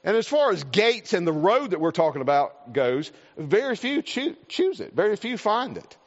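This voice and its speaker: Man, South Carolina accent, pastor, folksy earnest sermon, high voice, muffled lo-fi sound. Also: very animated, moderate pace. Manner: folksy storytelling, earnest, repeats words. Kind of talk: sermon with a personal story. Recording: reverberant hall, dull lo-fi sound.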